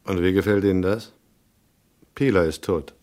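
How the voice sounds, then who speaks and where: A tough, hard-boiled detective played by a male actor from a noir radio play. His voice sits on the low side. He narrates and talks in a terse, unimpressed, matter-of-fact way. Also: normal speed, dark tone, natural voice. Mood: terse, dry. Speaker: narrator and lead character.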